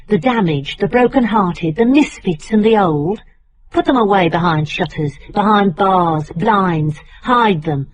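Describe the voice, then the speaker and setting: An actress playing a watchful, brooding narrator, delivering literary drama monologue in incantatory short phrases. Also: female voice, mid-range voice, a little mellow, natural voice, poor audio. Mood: bitter, sombre. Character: brooding, watchful female narrator of a bleak city.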